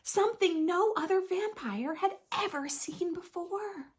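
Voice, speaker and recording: A woman reading a picture book aloud to young children, expressively, with dramatic pauses and drawn-out emphasis. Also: very high-pitched. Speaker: children's storybook reader, host. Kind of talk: expressive read-aloud narration for preschoolers.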